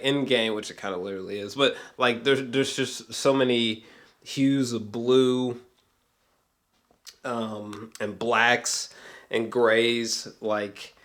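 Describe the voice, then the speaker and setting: A man giving a casual, unscripted vlog review as an excitable, sweary movie fan who rambles, repeats himself and trails off mid-thought. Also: medium pitch. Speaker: amateur movie reviewer vlogger.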